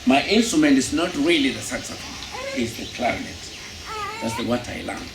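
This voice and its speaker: A man with a Kenyan accent, a musician recounting his life story in an unhurried, reminiscing interview. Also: medium pitch, wildly swooping pitch, gravelly. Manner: unhurried, reminiscing, repeats himself. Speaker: musician and instrument technician. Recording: roomy, distant, loud background noise.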